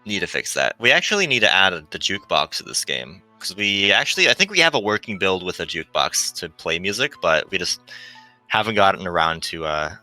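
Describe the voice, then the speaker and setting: A man's voice: deep voice, very animated, a little fast, bright, forceful tone, faint music behind, low-quality recording. A man in his twenties chatting casually as a guest game developer about his work. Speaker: visual novel developer, guest.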